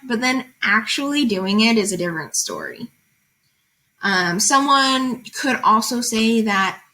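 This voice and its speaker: A woman's voice: a little high, a bit bright. A woman reading fables aloud and explaining them as a teacher, in an informal, conversational way with guiding questions.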